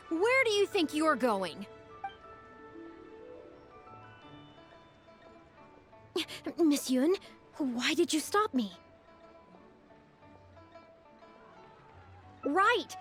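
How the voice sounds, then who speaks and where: An outspoken young woman from a video game, earnest and forthright. Her voice sits a little high, and music plays behind her lines. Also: big pitch swings, a little slow, fairly bright tone, full character voice. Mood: puzzled, demanding. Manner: candid, forthright. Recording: background music under dialogue.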